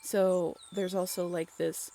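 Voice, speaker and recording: Audio drama role: chatty, excitable young woman played by a woman, a touch low, recounting a tale to a companion in casual, conversational style. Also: takes it slowly, slight background noise. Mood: engrossed, chatty. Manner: informal, giggly, conversational asides with rhetorical questions. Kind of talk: casual, animated storytelling in an audio roleplay.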